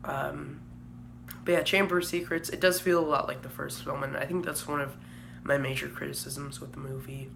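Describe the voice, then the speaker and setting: A young man vlogging casually, hoarse from a cold and a sore throat, rambling with frequent ums and uhs. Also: mid-range voice, little pitch movement, moderate pace, fairly bright tone, slight background noise. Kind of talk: casual vlog review.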